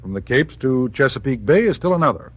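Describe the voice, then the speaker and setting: An actor playing a businesslike wartime naval staff officer with a formal, matter-of-fact delivery. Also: male voice, medium pitch, very animated, brisk, breathy edge. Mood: reasoned, serious.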